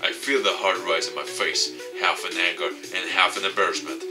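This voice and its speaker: Male YouTuber narrating visual novel, low pitch, halting delivery, distant mic, guitar music behind. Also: steady tone. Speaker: video game YouTuber. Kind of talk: reading a visual novel aloud with commentary.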